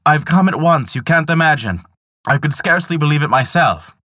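Guy doing a high excitable trickster god, sly and wheedly, crisp dry sound. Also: brisk, dry recording. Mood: wheedling. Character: sly male trickster god, desperate and scheming.

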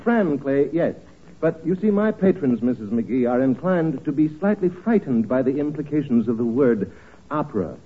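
An actor playing a pompous, sly theater manager with florid, overly genteel speech in a 1940s radio comedy. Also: male voice, medium pitch, very animated, normal speed, breathy edge. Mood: wry, condescending. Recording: scratchy, tinny 1940s radio recording.

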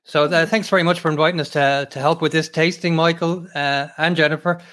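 A man hosting an interview over a video call, measured and formal with many hesitations. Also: a little high, steady tone, fairly bright tone. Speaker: host.

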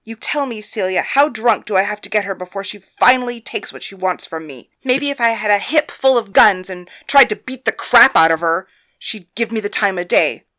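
A woman's voice: fairly high pitch, animated, a little slow, full character voice. A woman playing a brusque, commanding brothel woman. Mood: ranting. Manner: brusque and forceful, raising her voice in anger.

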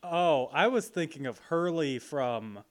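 A man's voice: a little high, animated, unhurried. A man from Illinois co-hosting a casual podcast chat, recapping the plot and joking, laughing often. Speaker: podcast co-host.

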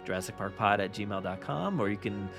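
A man hosting a podcast in a casual, rambling, halting way. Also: on the low side, expressive, gravelly, fairly bright tone, faint music behind. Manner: halting, repetitive, rambling delivery. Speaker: podcast host.

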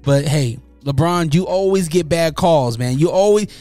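A man's voice: a little high, moderate pace, dry recording. Brief: man, casual commentary host, slangy and joking, faint music underneath. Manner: animated, joking, slangy, mocking voices in quotes.

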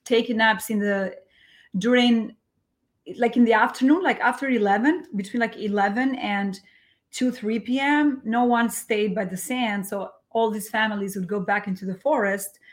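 A woman's voice: on the high side, moderate pace. Albanian-accented woman, playful interview guest. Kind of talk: casual conversational interview.

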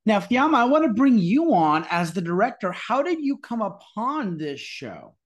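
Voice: medium pitch, sing-song, moderate pace. A podcast host interviewing guests, affirming their answers enthusiastically. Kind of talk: interview hosting.

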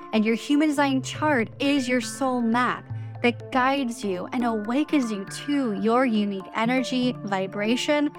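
A woman reading a scripted promo in a smooth, earnest way. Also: a little high. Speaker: ad presenter.

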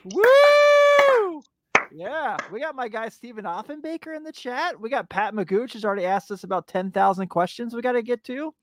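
Hyped, hoarse male podcast host. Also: extremely high pitch, big pitch swings, noisy background. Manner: hyped, exclamatory, drawn-out hype words. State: raspy voice. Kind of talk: casual sports podcast chat.

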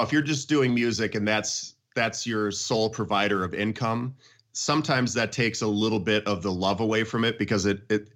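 A man's voice: fairly low pitch, a bit bright. A middle-aged man chatting casually about music in an enthusiastic, emphatic way.

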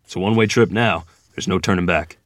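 Man acting as 1920s ferryman, low voice, gritty, commanding, urgent family man, dry close sound, table-read drama. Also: fast, dry recording, natural voice. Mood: gritty, resolute. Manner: terse, commanding. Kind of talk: table read of a period screenplay.

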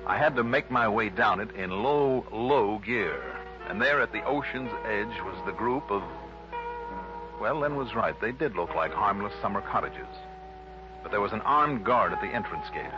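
An actor playing a wry, brisk American insurance investigator in a crisp, dramatic 1960s radio drama style. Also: male voice, fairly low pitch, expressive, natural voice. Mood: wry narrating. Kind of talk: 1960s radio drama, first-person hard-boiled narration. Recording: dull lo-fi old radio recording, music behind.

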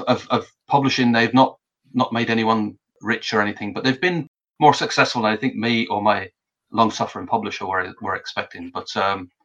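A man's voice: mid-range voice, fairly bright tone, lo-fi sound. A middle-aged man raised in London by Irish parents, with a mixed London and west of Ireland accent, talking in a hesitant, wry way with many restarts as an interview guest. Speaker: author and translator, guest. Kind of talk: casual interview talk.

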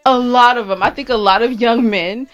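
A woman's voice: on the high side, moderate pace, fairly bright tone, lo-fi sound, faint music behind. A woman hosting a podcast in casual, conversational chat. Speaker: podcast host.

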